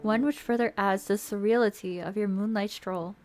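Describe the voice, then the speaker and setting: A woman's voice: mid-range voice, fairly even intonation, moderate pace. A young woman streaming, chatty and playful, moving between casual chat and reading a visual novel aloud. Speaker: streamer and host.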